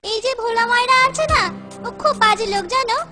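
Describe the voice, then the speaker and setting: A woman's voice: extremely high pitch, fairly quick. A woman voicing an insistent, pleading little village boy in a comic cartoon. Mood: aggrieved. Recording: faint music behind the voice.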